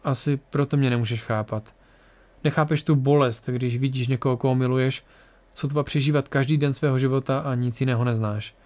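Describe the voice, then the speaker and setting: Man reading as a young man from a poor planet, quiet, earnest, hurt, mid pitch. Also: steady tone, close to an everyday voice.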